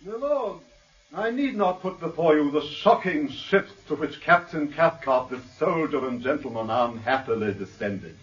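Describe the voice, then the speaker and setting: Theatrical, swooping English barrister. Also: male voice, fairly high pitch, distant mic, dull audio. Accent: upper-class English. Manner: pompous, rhetorical, precise. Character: suave, theatrical English defence barrister, self-assured and eloquent. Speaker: actor playing a defence barrister. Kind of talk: British radio drama, courtroom oratory.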